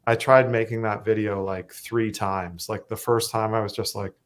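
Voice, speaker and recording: A Canadian man with a self-described monotone voice, talking casually as a musician guest, rambling with fillers and restarts. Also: on the low side, normal speed. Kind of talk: casual interview chat.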